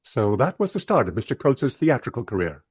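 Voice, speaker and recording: Lively, husky-voiced male interviewer-narrator. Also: mid-range voice, brisk. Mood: courteous, intrigued. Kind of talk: radio play narration and interviewing.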